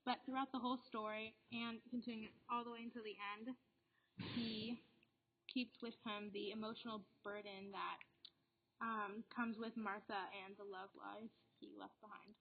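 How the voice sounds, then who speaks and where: A teenage girl, a student guest on a school podcast, answering thoughtfully and hesitantly with many pauses. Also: fairly high pitch, level tone. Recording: dull lo-fi recording.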